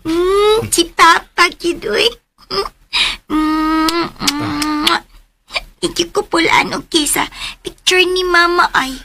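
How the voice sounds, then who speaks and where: A young girl from a radio drama who longs for her mother, as a woman voices her. Her voice is high and bright, eager and pleading, with a faint hiss behind it. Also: slow, full character voice.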